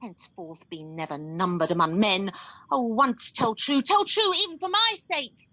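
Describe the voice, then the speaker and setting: Woman as sharp, impassioned young heroine. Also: a little high, moderate pace, dry recording. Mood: furious anguish. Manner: impassioned, scolding theatrical verse. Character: fierce, distraught young woman accusing her lover's killer. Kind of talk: radio Shakespeare acting.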